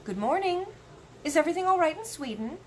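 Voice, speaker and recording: A woman voicing a commanding, unflappable storybook queen in a brisk, matter-of-fact manner. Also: very high-pitched, big pitch swings, a little mellow, a little hiss or hum, voice pushed up.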